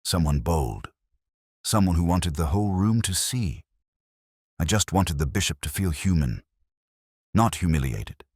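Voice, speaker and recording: A man's voice: low-pitched, lively intonation, a little slow, long pauses, a little mellow, slightly rough, no echo, studio quality, full character voice. A man voicing a weary, nervous, guilty caretaker in an audio drama, hesitant and confessional. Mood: guilty, pleading. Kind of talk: audio drama character reading.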